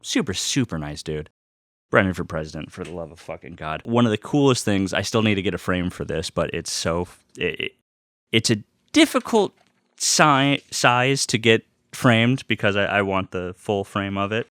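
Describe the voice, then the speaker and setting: A man's voice: fairly low pitch, sing-song, moderate pace, fairly bright tone, no room sound, clean studio sound. A man chatting casually to viewers in a rambling, enthusiastic fan style, with emphatic repetition and swearing. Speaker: vlogger unboxing vinyl and merchandise.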